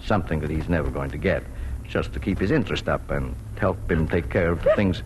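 Deep-voiced, plain-spoken farmer father. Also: fairly quick, a little mellow, rough recording. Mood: resigned, tender. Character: weary, gentle immigrant farmer father. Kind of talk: 1940s radio acting. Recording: hissy vintage 1940s radio recording.

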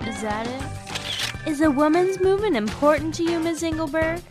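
A woman's voice: sits high, fairly quick, music in the background, pitched above the performer's own voice, lightly acted. A woman playing a pushy, probing press reporter, reading novel dialogue as a string of insistent questions. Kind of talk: acted read-aloud of novel dialogue.

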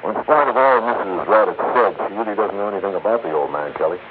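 An actor playing a thoughtful, quietly world-weary investigator in a 1960s radio drama, plainspoken and reflective. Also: male voice, fairly low pitch, expressive, fast talker, lightly acted. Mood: reflective, sympathetic. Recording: tinny, noisy 1960s radio recording.

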